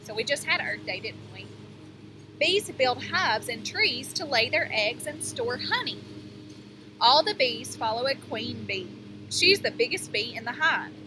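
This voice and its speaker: A woman teacher reading a picture book aloud to young children, pausing to explain things and ask them questions. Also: fairly high pitch, very animated, background noise.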